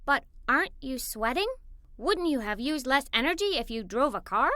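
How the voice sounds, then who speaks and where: A woman voicing a curious, excitable little girl in a children's storybook, with an eager, wondering manner. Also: high voice, normal speed, dry recording, studio quality, exaggerated voice. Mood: puzzled.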